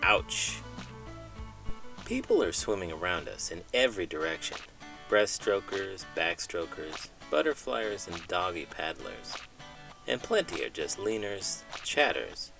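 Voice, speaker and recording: A low-voiced man performs a children's read-aloud with music behind him. His delivery swings widely in pitch, with dramatic emphasis on key words. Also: big pitch swings, a little slow, gravelly. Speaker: children's book reader, elementary school teacher. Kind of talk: expressive storybook read-aloud with puppet banter.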